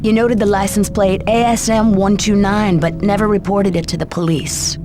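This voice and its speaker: Hard-nosed female cop played by an actress, game interrogation; dry, probing, medium pitch, music behind. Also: steady tone, moderate pace, close to an everyday voice. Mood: pointed, skeptical. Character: tough, skeptical female police lieutenant. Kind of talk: video game cutscene acting.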